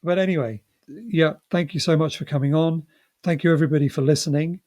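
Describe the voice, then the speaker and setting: A man's voice: on the high side, steady tone, brisk, a little mellow. A man hosting a podcast in a casual, chatty style, effusive and a little self-deprecating. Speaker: podcast host. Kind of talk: casual chat.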